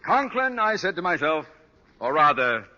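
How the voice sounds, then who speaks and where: A man's voice: on the high side, big pitch swings, moderate pace, sharp tone, exaggerated voice. An actor playing a pompous, imperious middle-aged principal and father in a 1940s radio sitcom. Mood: pompous, scolding. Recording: dull vintage radio recording, long reverb.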